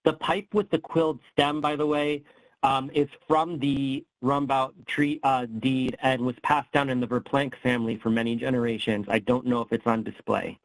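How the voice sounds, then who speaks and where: A man's voice: medium pitch, little pitch movement, moderate pace, dry recording, rough recording. A man giving an online history lecture, explaining with frequent ums and self-corrections as he loses his voice. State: losing his voice. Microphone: computer mic on a video call.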